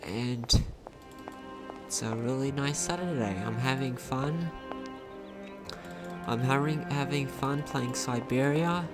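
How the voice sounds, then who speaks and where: A man's voice: medium pitch, slow, long pauses. A man giving casual let's play commentary, thinking aloud in a hesitant, rambling way. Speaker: gaming video host. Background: video game music.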